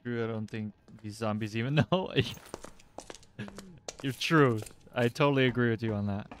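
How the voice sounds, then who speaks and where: A man in his twenties chatting casually with his livestream chat while playing, laid-back and quick to laugh. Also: medium pitch. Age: 20s. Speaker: livestream gamer. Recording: game music loud behind. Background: game music.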